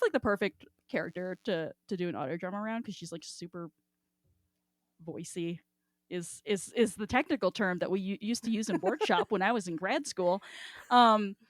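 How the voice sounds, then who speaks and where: A woman's voice: mid-range voice, no room sound, studio quality. A young woman co-hosting a podcast, chatting casually in a giggly, slangy, sweary way. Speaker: podcast co-host. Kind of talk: casual chat about an audio drama.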